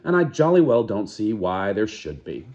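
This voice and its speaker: A man's voice: lowish voice, sing-song, normal speed, darkish tone, lo-fi sound. A man voicing a young woman who answers back defiantly, while reading a novel aloud.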